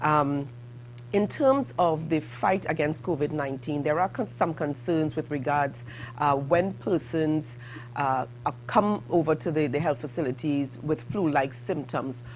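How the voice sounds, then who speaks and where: A woman hosting a morning show, putting questions in a halting, rephrasing way. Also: low-pitched, a little hiss or hum, low-quality recording. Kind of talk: broadcast interview questioning.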